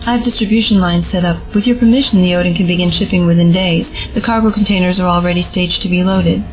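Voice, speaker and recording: A woman voicing an efficient, businesslike henchwoman in an audio drama, brisk and reporting. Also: on the low side, fairly even intonation, faint music behind, natural voice, poor audio.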